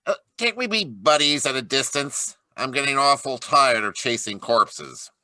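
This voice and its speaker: An actor playing a weary, grouchy, sarcastic homicide lieutenant in an old-time radio detective play. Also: male voice, medium pitch, lively intonation, normal speed, sharp tone, no echo. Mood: grumpy. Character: grumpy, weary male police homicide lieutenant. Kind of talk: 1940s detective radio acting.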